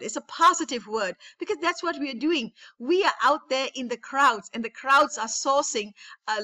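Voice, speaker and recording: Woman trainer on a webinar call, high voice, enthusiastic and repetitive. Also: sharp tone. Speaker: network marketing trainer.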